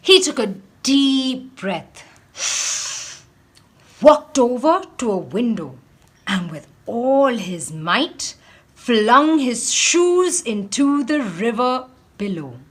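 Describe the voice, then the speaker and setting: A woman's voice: on the high side, sing-song, very slow, a bit bright, husky. A woman storyteller reading a children's storybook aloud in a theatrical manner, acting out the sighs and exclamations.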